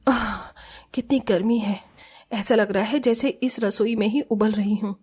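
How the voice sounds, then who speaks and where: A woman's voice: medium pitch, fairly even intonation, a little slow, darkish tone, husky. A woman voicing a meek, hardworking and patient eldest daughter-in-law in a cartoon family drama, deferential in manner. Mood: weary.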